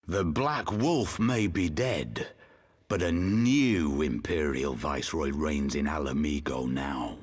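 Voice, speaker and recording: A man's voice: a bass voice, takes it slowly, full character voice. An actor playing an impassioned rebel leader rallying his exiled countrymen in a game cutscene, with emphatic, declamatory oratory. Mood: grim, defiant. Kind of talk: theatrical video game oratory.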